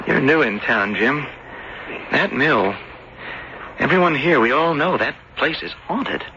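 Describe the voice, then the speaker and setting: An actor playing an earnest, lovesick young seafarer back home, speaking haltingly in radio drama style. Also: male voice, mid-range voice, lively intonation, bright, forceful tone, full character voice. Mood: uneasy, wondering. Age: young adult. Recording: dull, noisy old radio broadcast.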